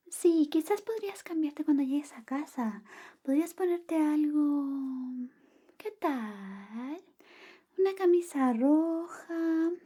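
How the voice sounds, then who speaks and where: A young woman playing a sly, clingy female best friend secretly in love, in a soft, whispery, coy ASMR roleplay. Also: high-pitched. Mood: teasing, critical. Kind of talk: Spanish ASMR roleplay, personal attention.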